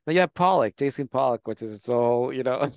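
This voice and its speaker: A man hosting a casual interview on a video call, enthusiastic and full of wows, with halting phrasing. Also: medium pitch, animated, a little mellow, dry recording. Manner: enthusiastic, keeps saying wow, halting phrasing. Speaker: host.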